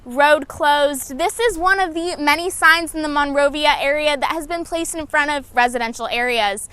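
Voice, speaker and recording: A woman's voice: sits high, normal speed, a bit bright, no room sound. A woman reading a scripted news voice-over in a plain, factual way. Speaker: news narrator.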